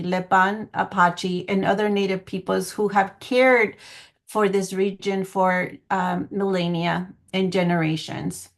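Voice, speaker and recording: A woman from Guatemala giving a semi-formal online presentation in an earnest, measured way with some hesitant phrasing, speaking over a video call. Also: mid-range voice, fairly bright tone. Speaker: public health planning coordinator giving a presentation. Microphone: video call microphone.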